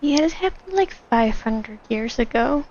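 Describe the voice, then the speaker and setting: Anime game young traveler: laid-back, slow, wry, fry-edged voice, slightly muffled sound. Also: female voice, on the high side, lively intonation, a little mellow, slight background noise.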